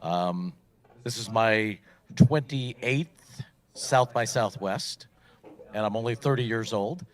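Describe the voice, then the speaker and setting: A man's voice: on the low side, slow. A middle-aged man from Poland hosting an event on stage, talking casually into a microphone and rambling with many fillers. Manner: chatty, rambling, frequent fillers. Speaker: event host.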